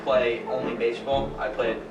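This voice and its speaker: A man's voice: medium pitch, level tone, normal speed, lo-fi sound, lightly acted. Young man as easygoing ballplayer, echoey distant mic. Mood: modest, easygoing. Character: easygoing male baseball star Lou Gehrig. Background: instrumental music.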